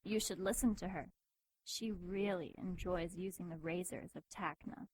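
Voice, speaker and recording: A husky demon seductress, close and dry. She is coy and teasing with a sinister edge, in audio drama style. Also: lowish voice, normal speed, a little mellow, no room sound, rough recording. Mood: teasing menace. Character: sultry, teasing, menacing female demon seductress.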